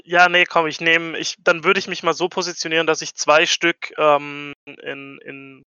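A man's voice: a little high, little pitch movement, moderate pace, pushed and bright, clear, resonant tone, no room sound, muffled recording. A man playing a tabletop role-playing game, chatting casually and playfully and dropping in English phrases.

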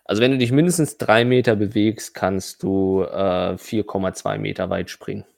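Man, low pitch, tabletop game master, dry acoustics, thinking aloud, improvised narration. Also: fairly even intonation, moderate pace, gravelly, darkish tone, dry recording. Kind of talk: running a tabletop role-playing game, improvised narration and rules talk.

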